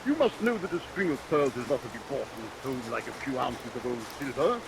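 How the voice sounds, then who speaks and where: A man's voice: medium pitch, animated, fairly quick, lightly acted. An actor playing a wary, self-righteous tradesman with a prim, hesitant manner in a vintage radio melodrama. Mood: wary bargaining. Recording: hissy, noisy vintage radio recording.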